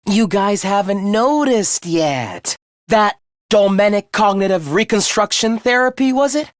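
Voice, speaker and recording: A man's voice: sits high, animated, measured pace, dry recording, studio quality. A man voicing a young male student in an anime game, sweetly idealistic yet secretly sinister, with a light, polite, theatrical delivery. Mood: cold, gloating.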